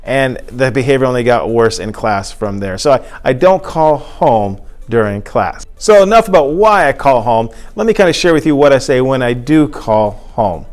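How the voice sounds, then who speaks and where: A man's voice: mid-range voice, animated, normal speed, few pauses. Conversational man explaining as a teacher. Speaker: teacher and presenter. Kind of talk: informal instructional talk to camera.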